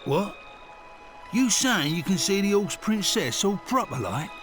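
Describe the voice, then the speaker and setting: An actor playing a rough, excitable, jumpy sidekick in a video game, with a British accent and colloquial, blustering speech. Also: male voice, high voice, measured pace, faint music behind, exaggerated voice. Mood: astonished.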